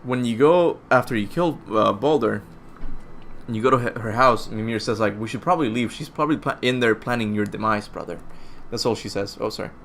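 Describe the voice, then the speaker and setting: A man's voice: on the low side, a little fast, fairly bright tone, a little hiss or hum. A young man from Cuba hosting a casual gaming Q&A, rambling and self-deprecating, laughing at his own slips. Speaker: gaming channel host answering viewer questions. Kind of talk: casual livestream Q&A chat. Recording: faint music behind.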